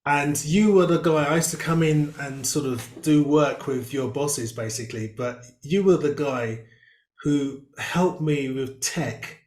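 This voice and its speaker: A man's voice: fairly high pitch, measured pace, a little mellow, echoey room. A man hosting a casual video-call interview, chatty and rambling with many fillers. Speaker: host.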